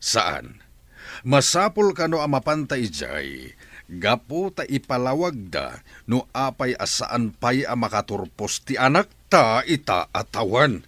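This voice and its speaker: Radio drama patriarch: stern, commanding, sharp and animated, dry sound, faint music behind. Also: male voice, mid-range voice, a little slow, dry recording. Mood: stern, urgent. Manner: commanding, forceful. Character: stern, hot-tempered father demanding answers.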